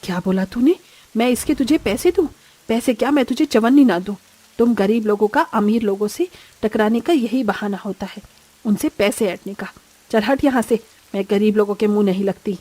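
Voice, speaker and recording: A woman's voice: mid-range voice, moderate pace, mellow, no room sound, close to an everyday voice. A woman voicing a haughty, scornful older rich mother-in-law who scolds and orders people about in a moral-story cartoon. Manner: scolding, imperious.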